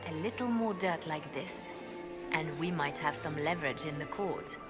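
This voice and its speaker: A woman voices a poised spymaster who sizes people up with a dry, scornful wit. Her tone is cool and knowing, set a little low, with music swelling clearly under the game scene. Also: moderate pace, close to an everyday voice. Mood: calm. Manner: cool, knowing, quietly sardonic. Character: shrewd poised female spymaster, dryly scornful. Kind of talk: video game cutscene dialogue. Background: orchestral music.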